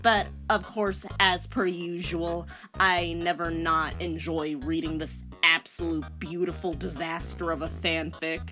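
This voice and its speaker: Lower-pitched woman reading theatrically, casual asides. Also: little pitch movement, a little slow, sharp tone. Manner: theatrical narration with informal, incredulous asides. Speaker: host, story reader. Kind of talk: comedic dramatic reading with casual commentary. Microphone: recorded voice-over. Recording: music under the voice.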